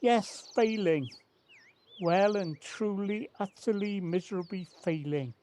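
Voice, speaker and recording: Audio play: a woman playing remorseful older woman, unhurried and anguished, slightly noisy home recording. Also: medium pitch, warm tone, natural voice. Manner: halting, confessional, anguished. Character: older, remorseful partner of forty years, full of self-pity. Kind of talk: amateur audio drama acting. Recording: faint noise, home personal-device recording.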